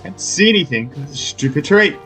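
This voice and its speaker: Lively man doing casual game commentary. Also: on the high side, fairly quick. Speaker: gamer playing through a story quest. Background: game music.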